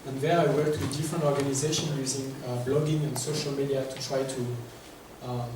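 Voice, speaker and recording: A man's voice: medium pitch, steady tone, fairly quick. French accented guy presenting at a workshop, lots of ums, sounds far off in a big echoey room with hiss. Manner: hesitant, many filler words; addresses audience with questions. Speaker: workshop speaker. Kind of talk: conference presentation. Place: large echoey conference room. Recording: echoey distant room recording with noise.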